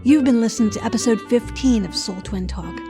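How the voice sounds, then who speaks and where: A woman's voice: a little high, fairly quick, loud music behind the voice. A woman reading a scripted outro as a podcast host.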